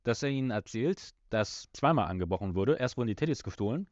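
A man hosting a podcast, casually discussing an audio drama and pausing to search for words. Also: medium pitch, expressive, no room sound. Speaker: podcast host. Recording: slightly dull, lo-fi sound.

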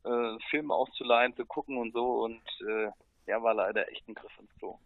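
A man's voice: medium pitch, fairly even intonation, normal speed, gravelly, no room sound, phone-quality sound. A man, a musician guest, answering casually and spontaneously in a laid-back, colloquial way.

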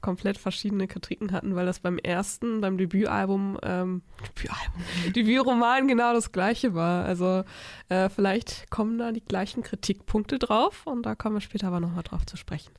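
Relaxed woman in her mid-twenties. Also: mid-range voice, normal speed, dry recording, clean studio sound. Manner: relaxed, laughs easily, fillers. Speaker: podcast co-host. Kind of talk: casual chat.

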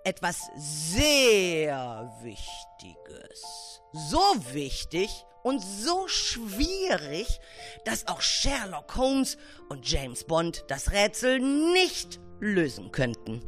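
A woman narrating a children's story expressively, with dramatic pauses. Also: fairly low pitch, very animated, faint music behind.

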